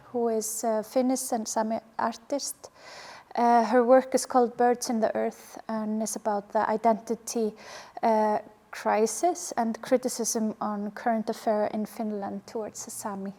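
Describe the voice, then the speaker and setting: Mellow high-voiced Icelandic woman. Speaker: museum educator. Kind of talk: interview explanation of work.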